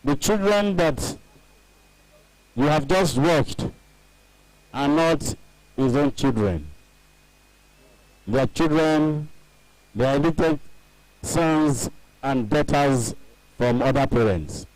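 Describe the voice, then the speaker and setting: A man acting as master of ceremonies, announcing in a halting way. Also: on the high side, a little mellow, no room sound, rough recording.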